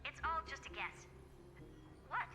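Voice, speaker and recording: Smug woman genius, game character, blunt sardonic delivery, long reverb, clear music behind. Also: sits high, big pitch swings, measured pace. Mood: smug, brisk. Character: haughty, brisk genius woman scientist, sardonic. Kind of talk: video game voice acting.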